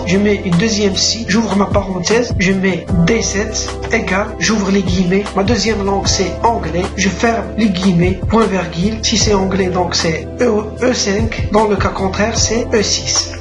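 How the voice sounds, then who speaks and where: Male tutorial narrator, methodical step-by-step delivery, roomy echo, music bed. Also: high-pitched. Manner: steady step-by-step dictation of clicks and formulas. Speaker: software tutor. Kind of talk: screen-recorded tutorial narration. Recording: echoey room with music behind.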